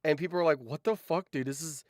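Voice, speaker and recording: A man's voice: on the high side, fast, no echo, studio quality. A man hosting a livestream in a casual chat, rambling along and swearing freely. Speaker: livestream host.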